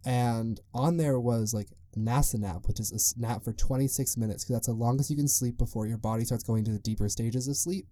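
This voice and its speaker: Young adult male podcast host, deep mellow tone, loose rambling delivery, dry close mic. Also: fairly quick, few pauses, no echo. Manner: rambling, loose and chatty, full of "like". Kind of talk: casual solo podcast monologue.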